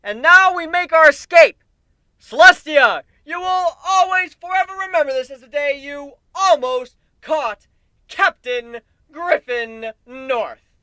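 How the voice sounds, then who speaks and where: A man's voice: very high voice, slow, sharp tone, no room sound, voice pushed up, heavily acted. A man voicing a cocky, sarcastic griffin pirate captain with brash, flippant swagger in a fantasy story reading. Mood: brash, shouting.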